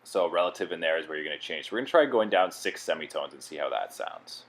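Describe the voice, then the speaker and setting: Deep-voiced man presenting a tutorial. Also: animated, fast. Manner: explanatory, plain-spoken. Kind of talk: instructional software walkthrough.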